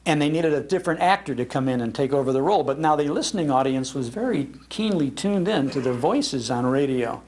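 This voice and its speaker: Casting: older American male storyteller, slightly high voice, enthusiastic public speaker with nostalgic delivery. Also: expressive, brisk, hardly any pauses, a little mellow. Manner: enthusiastic, acts out quoted lines. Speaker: speaker on old-time radio history. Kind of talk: nostalgic storytelling talk to an audience. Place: public library talk.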